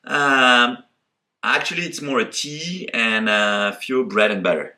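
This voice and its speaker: A man in his forties with a French accent, answering quick questions on a tour of his company's office, with many fillers and restarts. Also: mid-range voice, pushed and bright. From France. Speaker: company co-founder. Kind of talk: rapid-fire walking interview. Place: company office in Paris, indoors and terrace.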